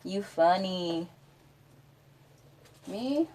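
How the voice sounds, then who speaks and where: A woman's voice: on the low side, expressive, very slow, a little mellow, slight background noise. A chatty woman from Texas, rambling casually as a live streamer and answering her chat. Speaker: Twitch streamer.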